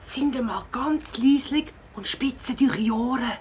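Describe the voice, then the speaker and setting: A woman plays a brave young gang leader with a highish voice and a Swiss accent. The manner is resolute and reassuring, in children's radio play style, over faint background noise. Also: unhurried, a little mellow. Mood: hushed, urgent. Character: brave, forest-wise young leader of a group of friends. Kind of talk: children's audio drama acting.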